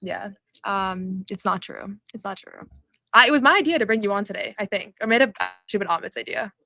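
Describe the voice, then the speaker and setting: A woman's voice: mid-range voice, fairly quick, gravelly, sharp tone. A woman hosting a casual postgame show, chatty and rambling with frequent fillers. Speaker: host. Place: arena concourse at a summer league event.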